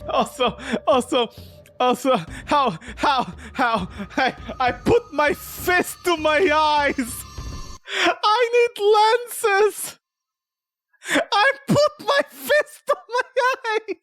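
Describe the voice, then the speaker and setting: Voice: high-pitched, animated, extremely slow, fairly bright tone. A game streamer reading a visual novel aloud and reacting to it in an exasperated, incredulous, theatrical way. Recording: background music under the voice. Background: music.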